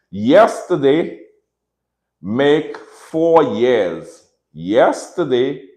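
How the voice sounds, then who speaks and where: A man's voice: mid-range voice, darkish tone. A man from the Caribbean with a Caribbean accent, hosting a casual livestream from home. He talks in an earnest, reflective way, repeats phrases and often checks in with "right?". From Dominica, raised in Saint Martin. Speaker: livestream host and dog breeder. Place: room at home with dogs. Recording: echoey room.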